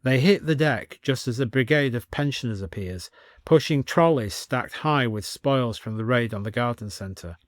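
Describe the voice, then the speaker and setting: A man reading a scripted story as an expressive storyteller with dry comic delivery. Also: mid-range voice, normal speed, dry recording.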